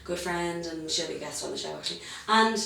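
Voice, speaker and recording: A woman's voice: fairly low pitch, brisk, gravelly, sharp tone, very echoey, far from the mic. A young Irish woman, a comedian, chatting as an interview guest, laughing often and poking fun at herself. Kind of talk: casual interview chat.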